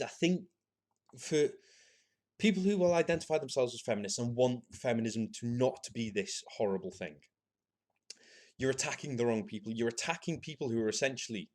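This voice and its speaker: A man, possibly, hosting a solo podcast and thinking out loud in a halting, earnest way, with frequent restarts and filler words. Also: mid-range voice. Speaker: podcast host. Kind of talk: solo opinion monologue.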